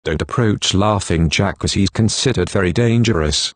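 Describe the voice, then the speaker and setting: A man as a deep, formal bulletin reader. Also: normal speed, no room sound. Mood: formal, grave. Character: male news correspondent and emergency alert announcer, formal. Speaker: correspondent and alert announcer. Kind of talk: scripted emergency broadcast.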